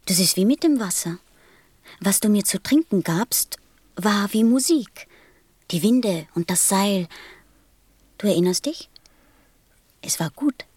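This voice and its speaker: Radio play role: gentle, wistful small boy, hushed breathy delivery, very dry sound. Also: mid-range voice, expressive, measured pace, pauses often, no echo. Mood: tender, wistful. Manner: tender, solemn, simply spoken. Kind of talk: German radio play acting.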